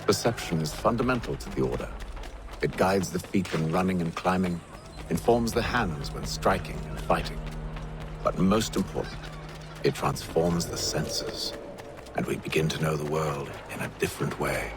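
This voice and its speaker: An actor playing a composed, formal nobleman, explaining calmly in a game. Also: sits low, moderate pace, over music, close to an everyday voice. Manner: calm, formal, instructive. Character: composed, aloof male nobleman, measured.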